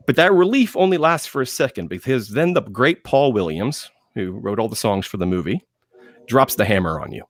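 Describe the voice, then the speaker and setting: A man speaking as a panelist in enthusiastic film talk, emphatic, with dramatic pauses and phrases repeated for effect. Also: medium pitch, lively intonation, no echo, clean studio sound.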